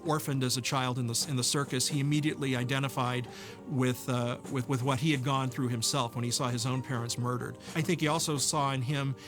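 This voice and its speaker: A man gives a reflective interview about creative work. His pitch is average and stays quite level, and he explains things in a measured, articulate way. Music plays clearly behind him. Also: fairly even intonation. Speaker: animated series writer-producer interviewed.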